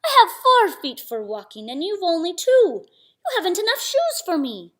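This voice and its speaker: A woman voicing a boastful, menacing male tiger in a children's storybook, with a slow, drawn-out growl. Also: extremely high pitch, huge pitch swings, a bit bright, pitched above the performer's own voice, full character voice.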